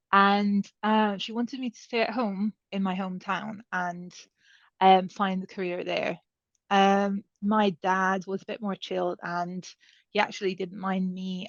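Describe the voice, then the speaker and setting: A woman's voice: mid-range voice, no echo. A woman shares her career story at an advice evening, talking loosely with plenty of ums and restarts. The sound is dull and a bit lo-fi, close and dry with no room around her. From Serbia. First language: Hungarian. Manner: conversational, many fillers and restarts. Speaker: panel speaker.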